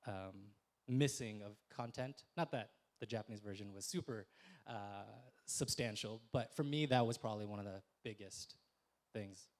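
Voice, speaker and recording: A man's voice: lowish voice, normal speed. Reflective man on a discussion panel. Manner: thoughtful, many fillers and restarts. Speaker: panelist, film festival director.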